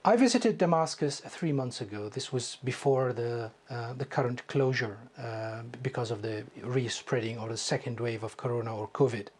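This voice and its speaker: A middle-aged Syrian man with a husky, rough-edged voice at a medium pitch. He speaks English with a Syrian Arabic accent, arguing his points as a guest in a TV political debate. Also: normal speed, few pauses, a little mellow. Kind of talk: political debate on a TV talk show.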